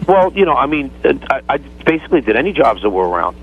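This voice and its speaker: A man is heard through a narrow, tinny phone connection as a radio caller. His casual talk is halting and earnest, and he sidesteps as he explains himself. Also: mid-range voice, sing-song, a little hiss or hum.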